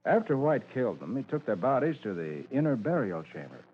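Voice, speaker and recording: An actor playing a confident, methodical private detective in an old radio drama, explaining with assurance. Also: male voice, medium pitch, big pitch swings, a little fast, breathy edge. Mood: assured, explanatory. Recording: dull, noisy old radio recording.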